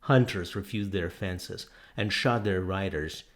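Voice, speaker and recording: Very deep-voiced man reading a novel. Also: unhurried. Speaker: audiobook reader. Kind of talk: scripted storybook narration of a novel.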